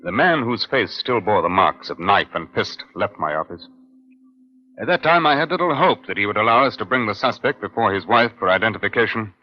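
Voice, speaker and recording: An actor playing a calm middle-aged police inspector in a 1950s radio crime drama, measured, formal and dryly probing. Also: male voice, fairly low pitch. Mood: sober narration. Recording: dull, band-limited old radio recording.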